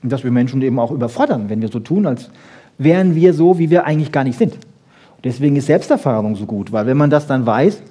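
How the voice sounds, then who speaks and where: A man giving a lively, colloquial lecture, speaking emphatically with rhetorical questions and frequent checking tags. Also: medium pitch, quick pace, husky. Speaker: lecturer in brain research.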